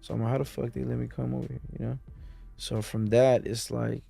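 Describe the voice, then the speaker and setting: A man's voice: medium pitch, level tone, fairly quick, gravelly, warm tone. A man who grew up in Mexico City, a music producer talking as a guest in a casual sit-down interview, full of street slang and "you know" asides.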